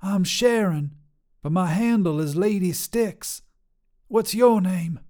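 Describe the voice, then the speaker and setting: A man's voice: high voice, takes it slowly, dark tone, voice pushed up. A man voicing a wiry, mocking woman trucker who turns out to be a demon, with a drawling, teasing, folksy manner. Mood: sly teasing.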